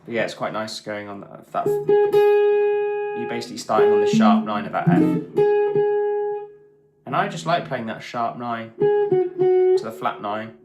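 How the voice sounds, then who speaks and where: A man's voice: on the low side, sing-song, a little slow, long pauses. A British man teaching guitar casually on a live stream, thinking aloud in short, informal bursts. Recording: roomy echo with guitar playing.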